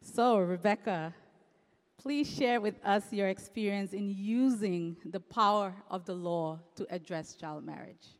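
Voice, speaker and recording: A woman's voice: mid-range voice, unhurried. Woman panel moderator, formal introductions, long hall reverb.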